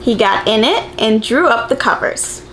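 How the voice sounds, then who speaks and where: A woman reading a picture book aloud to young children, expressive and encouraging, putting simple questions to them like a preschool teacher. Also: fairly high pitch, normal speed, a little hiss or hum, low-quality recording.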